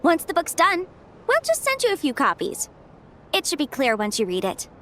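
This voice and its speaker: High, lively young adeptus scholar. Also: female voice, moderate pace, slight background noise, exaggerated voice. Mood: upbeat, chatty. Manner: chatty, expressive, hesitant when put on the spot. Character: cheerful, flustered young horned female adeptus scholar. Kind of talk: video game voice acting. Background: background music.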